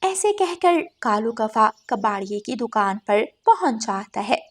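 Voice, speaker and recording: A woman's voice: a little high, sing-song, measured pace. A woman narrating a scripted children's moral story.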